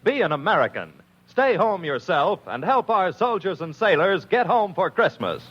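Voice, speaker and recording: A man's voice: sits high, very animated, normal speed, fairly bright tone, husky. A man giving an urgent, exhorting public-service appeal in 1940s radio announcer style. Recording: muffled old radio recording.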